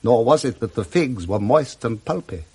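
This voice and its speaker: A man with a British accent giving expressive scripted narration of a classic story in 1960s radio style, relishing each detail. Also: mid-range voice, moderate pace, slightly rough.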